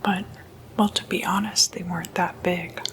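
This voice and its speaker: A woman speaking in a hushed ASMR whisper, unhurried and in short halting phrases, like an informal late-night lecture. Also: fairly low pitch, level tone, vocal fry, slight background noise, rough recording. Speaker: ASMR host.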